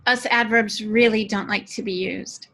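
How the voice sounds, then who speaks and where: Bright-voiced woman hosting a podcast. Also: mid-range voice, fairly even intonation, moderate pace. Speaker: podcast host. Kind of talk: podcast interview banter.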